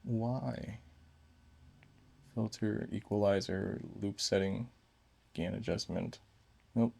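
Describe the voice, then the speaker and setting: A man's voice: lowish voice, steady tone, takes it slowly, creaky, darkish tone, breathy edge. A man giving a casual, unscripted hands-on gadget review, thinking aloud in short fragments.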